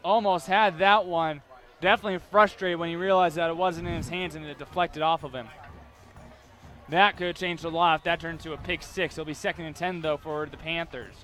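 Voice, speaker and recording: A young American man with a high, bright voice works as a sports reporter and announcer. He delivers his commentary in a forceful, clear-cut broadcast style.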